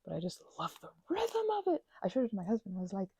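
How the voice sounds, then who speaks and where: A woman rambles through a soft-spoken crafting chat, eager and full of asides. Her voice is mellow and breathy with a gentle vocal fry. The sound is dry and close to the microphone. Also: mid-range voice, huge pitch swings, quick pace, dry recording. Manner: chatty, enthusiastic, trails off into restarts and asides. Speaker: ASMR channel host.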